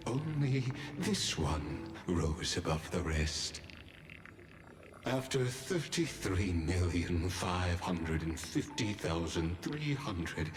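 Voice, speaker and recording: An actor playing a solemn, menacing godlike villain in a video game, speaking in grandiose, deliberate pronouncements. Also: male voice, deep voice, exaggerated voice. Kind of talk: scripted video game cutscene acting. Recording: echoing voice under clear music.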